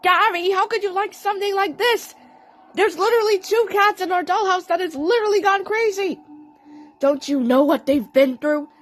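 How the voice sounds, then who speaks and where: A woman's voice: very high-pitched, moderate pace, voice pushed up. A woman voicing a grumpy, squeamish cartoon cat with a dramatic, grumbling delivery in an audio play. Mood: appalled.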